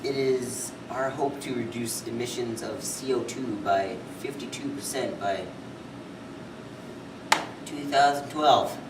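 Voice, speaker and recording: A man playing a puppet interviewee, reciting environmental facts haltingly in a scripted show. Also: medium pitch, fairly even intonation, close to an everyday voice. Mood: halting, informative. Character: male puppet interviewee explaining environmental problems. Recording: very noisy, echoey, distant amateur recording.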